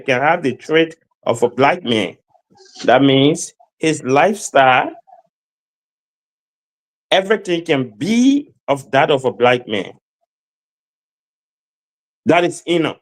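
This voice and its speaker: A man's voice: medium pitch, lively intonation, takes it slowly, long pauses, poor audio. A man speaking as a guest in a discussion, emphatic and lecturing, who repeats phrases and stammers as he builds his point. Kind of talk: lecturing opinion.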